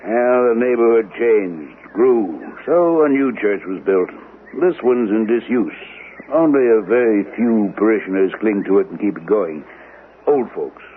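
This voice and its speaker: A man's voice: lowish voice, expressive, a little slow. An actor playing an affable local man in a 1970s radio drama, talking in a matter-of-fact way. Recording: muffled lo-fi radio recording with faint noise.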